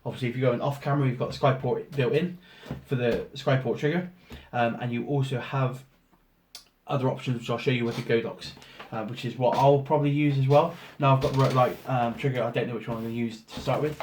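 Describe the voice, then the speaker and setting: A man with a hoarse voice from a cold, casually talking through an unboxing with lots of ums and restarts. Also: medium pitch, steady tone, quick pace, darkish tone. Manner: rambling, many ums and restarts. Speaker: photographer doing an unboxing. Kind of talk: casual product walkthrough. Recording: roomy echo, faint noise.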